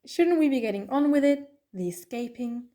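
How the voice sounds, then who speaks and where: A woman's voice: on the high side, animated, moderate pace, background noise, close to an everyday voice. A woman playing a playful, restless alien time traveller with a chatty, quirky manner in an audio drama. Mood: playful, teasing.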